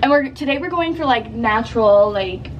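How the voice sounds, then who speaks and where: A woman's voice: a little high, lively intonation, moderate pace, muffled recording, background noise. A young woman talking to a handheld camera in a room and a car, chatty and upbeat with lots of fillers. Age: young adult. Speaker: vlogger. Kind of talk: casual chat to camera. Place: hotel room, then parked car.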